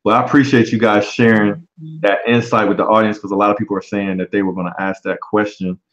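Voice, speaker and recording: A man in his forties hosting a casual livestream chat, relaxed and often pausing on um. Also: fairly low pitch, highs cut off. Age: 40s. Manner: relaxed, frequent um fillers. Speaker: livestream host. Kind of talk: casual live-stream chat.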